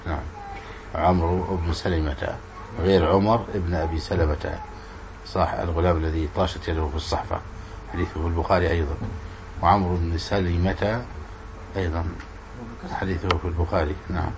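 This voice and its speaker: A man's voice: sits low, level tone, fairly quick, pauses often. A man giving an informal religious lesson as an Islamic scholar, explaining and repeating points in a didactic way. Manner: didactic, repeats words and questions. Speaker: Islamic scholar teaching hadith. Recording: clearly noisy room recording.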